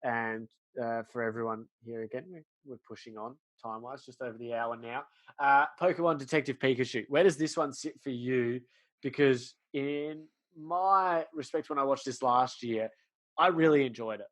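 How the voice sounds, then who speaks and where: A man with a medium-pitched voice co-hosts a casual film podcast. He talks in an informal, blokey way and drops in asides as he goes. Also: normal speed, fairly bright tone. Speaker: podcast co-host discussing films. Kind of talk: casual chat.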